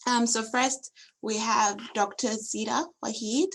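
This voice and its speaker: Creaky-voiced woman moderating a webinar. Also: a little high, steady tone, measured pace. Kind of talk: introducing panellists at an online webinar. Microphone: video call.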